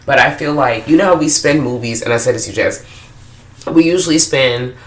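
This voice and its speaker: A woman co-hosting a casual movie review chat, blunt and profane, in a tired, low after-work voice. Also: fairly quick. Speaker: podcast co-host. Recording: roomy echo.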